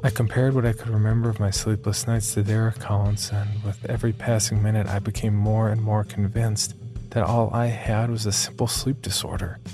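Guy narrating as uneasy young man in a horror story, low voice, confiding, deliberate pauses, music behind. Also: little pitch movement, lightly acted. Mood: wary, confiding. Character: uneasy young man recounting his sleep disorder. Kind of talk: first-person scripted horror narration. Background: background music.

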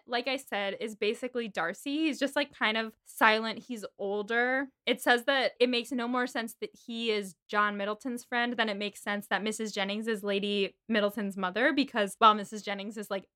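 A woman's voice: mid-range voice, sharp tone, smooth. A woman co-hosting a casual book podcast, chatty and laughing often, swearing freely as she recaps chapters. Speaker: podcast co-host. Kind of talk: casual book-club chat.

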